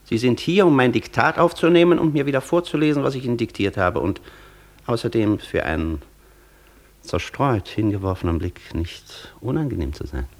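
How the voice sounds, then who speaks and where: An actor playing an imperious, easily irritated scholar in a German radio play, lecturing with pompous condescension. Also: male voice, medium pitch, lively intonation, moderate pace, natural voice. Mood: impatient, irritated. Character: imperious, vain old scholar Faust, easily irritated, dictating.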